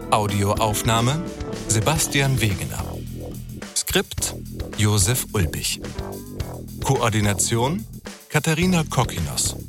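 A man reading scripted credits as an announcer. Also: lowish voice. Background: loud guitar music.

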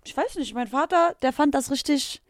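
A hoarse young woman co-hosting a podcast, teasing the guest playfully in casual chat. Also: sits high, normal speed, no room sound, clean studio sound. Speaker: podcast co-host.

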